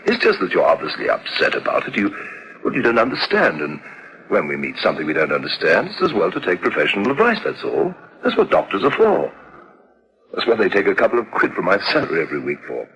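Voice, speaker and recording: An actor playing a practical, emphatic, slightly impatient husband in a radio drama. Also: male voice, low voice, wildly swooping pitch, fast, a bit bright, highs cut off, heavily acted. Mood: reassuring, firm. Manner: emphatic, matter-of-fact.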